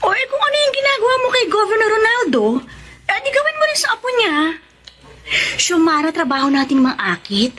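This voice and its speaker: A woman's voice: very high-pitched, very animated, a little fast, sharp tone, exaggerated voice. A woman acting a brash, scheming big-sister escort who persuades, in a Filipino radio drama. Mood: brash, goading.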